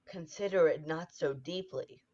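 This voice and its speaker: A woman playing a ruthless, scheming noble lady who drives her husband on, in 1940s radio-play style, with urgent forceful delivery. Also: sits low, measured pace. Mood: commanding. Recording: dull lo-fi sound.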